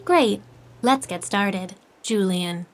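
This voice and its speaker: A woman's voice: medium pitch, slow pace. A woman playing a cheerful artificial intelligence matchmaking host in an audio drama, speaking in scripted, upbeat stock phrases. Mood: cheerful, polite.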